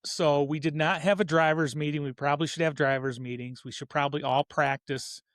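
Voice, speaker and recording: A man in his fifties with a slightly high voice, recorded dry and close. He hosts a casual hobby racing show and speaks his opinions earnestly, wandering and correcting himself along the way. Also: fairly even intonation, brisk, dry recording. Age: 50s. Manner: earnest, rambling, self-correcting.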